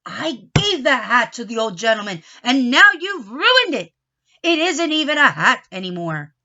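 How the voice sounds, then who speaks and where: A woman's voice: on the high side, big pitch swings, measured pace, bright, forceful tone, lo-fi sound, heavily acted. A woman voicing a weary, exasperated grown man from a storybook, with an emphatic, fretful manner. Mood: angry.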